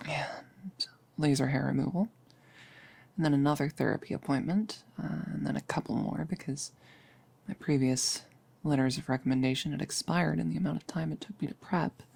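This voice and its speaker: Low, creaky-voiced girlfriend played by a woman, intimate ASMR. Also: warm tone, no room sound, exaggerated voice. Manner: rambling, confiding, halting with hesitant pauses. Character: nervous, chatty girlfriend about to become a vampire. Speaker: audio roleplay performer.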